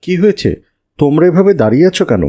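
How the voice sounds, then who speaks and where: A woman's voice: low-pitched, big pitch swings, fast, warm tone. A woman voicing a caring father of a small child in a children's moral cartoon. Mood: warm, encouraging.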